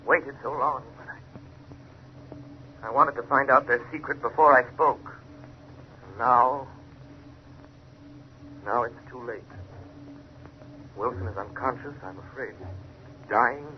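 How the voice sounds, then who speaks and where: A man's voice: mid-range voice, takes it slowly, long pauses, natural voice. Man playing urgent 1930s radio crime-fighter. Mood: grim, regretful. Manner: insistent, pressing questioning. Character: urgent, determined crime-fighting detective hero. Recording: muffled, noisy 1930s radio recording.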